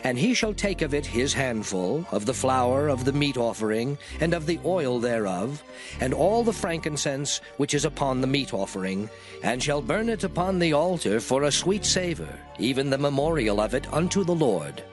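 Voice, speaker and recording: Solemn, expressive man reading scripture over music. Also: medium pitch. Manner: solemn, declarative, measured phrasing. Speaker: scripture reader. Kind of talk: dramatized King James Bible reading, scripted.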